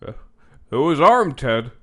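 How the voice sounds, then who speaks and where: Guy doing a folksy flustered president, stammers a lot, kinda high, up and down all over, comedy audio play. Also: sing-song, measured pace, pushed and bright, exaggerated voice. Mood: weary, sceptical. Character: weary, folksy, hesitant male comic US president. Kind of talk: comic audio drama acting.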